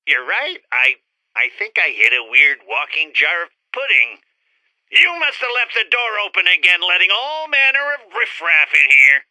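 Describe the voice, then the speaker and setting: An actor playing a haughty, bickering alien scientist twin with a contemptuous manner in a retro sci-fi radio play. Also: male voice, high voice, big pitch swings, normal speed, bright, forceful tone, dry recording, full character voice, rough recording. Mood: puzzled, irritated.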